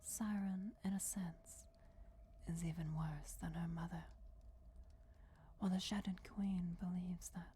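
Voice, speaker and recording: Woman acting a whispering vampire goddess, regal, patient, very slow and deliberate, fantasy ASMR roleplay, faint ambient noise behind. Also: lowish voice, steady tone, lightly acted. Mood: solemn, patient. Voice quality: soft, breathy whisper. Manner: slow, deliberate, formal, measured. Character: ancient vampire deity in feminine form, regal and patient. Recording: clear background noise, crypt ambience. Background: crypt ambience.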